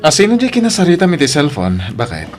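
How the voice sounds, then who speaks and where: A man's voice: on the high side, wildly swooping pitch, fast, music in the background. A man playing a firm, dutiful eldest brother in an audio drama, speaking earnestly and emphatically. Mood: alarmed. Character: firm, dutiful eldest son scolding younger siblings. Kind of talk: Ilocano audio drama acting. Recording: clearly noisy background.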